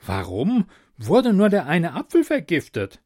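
Casting: a man playing a scrawny, bossy court jester, high and mocking, swooping delivery. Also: huge pitch swings, measured pace, darkish tone, full character voice.

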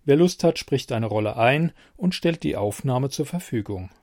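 A man's voice: mid-range voice, lively intonation, normal speed, darkish tone, no echo, clean studio sound. A man hosting a podcast, giving an explanatory introduction in a narrating style. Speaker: podcast host.

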